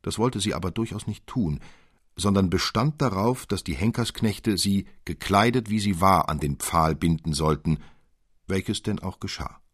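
A man narrating a literary tale in an expressive, deliberate, old-fashioned storytelling manner. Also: low voice, slightly rough, no echo, clean studio sound. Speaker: audiobook narrator.

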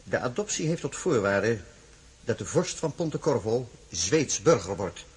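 An actor playing a proud, stern Swedish count and field marshal in a radio play, husky, rough and stiffly formal. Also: male voice, medium pitch, sing-song, unhurried, a little mellow, full character voice. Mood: stiff, grave.

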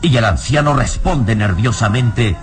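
A man's voice: medium pitch, lively intonation, a little slow. Solemn male radio drama narrator over music. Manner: solemn, theatrical.

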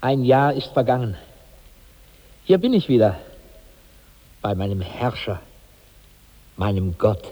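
An actor in a 1960s German radio drama playing a devoted servant of his master and god, solemn, reverent and measured. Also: medium pitch, big pitch swings, dark tone. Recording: long hall reverb on old radio recording.

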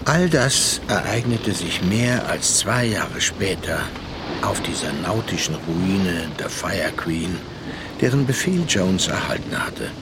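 An actor playing a worldly, reflective seafaring gentleman who narrates and talks in a measured way in a radio play. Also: sits low, animated, background noise, exaggerated voice.

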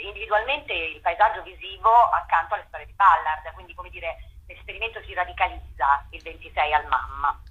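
Bright, husky woman curator on radio. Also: mid-range voice, dull audio. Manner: explanatory, thinking aloud with fillers. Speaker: festival curator. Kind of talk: radio interview, explaining a program.

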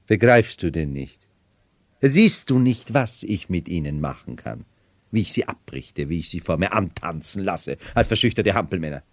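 A man's voice: sits low, a little mellow, no room sound. An actor playing a scornful, imperious man who feigns madness, in a theatrical 1970s radio drama monologue. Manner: theatrical, mocking, brooding monologue. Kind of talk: 1970s German radio play acting.

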